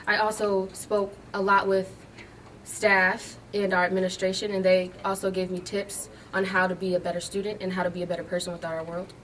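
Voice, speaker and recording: A woman's voice: medium pitch, flat delivery, a little fast, fairly bright tone. A young woman, a graduating student, answering interview questions in short, earnest statements. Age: young adult. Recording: distant mic, faint noise.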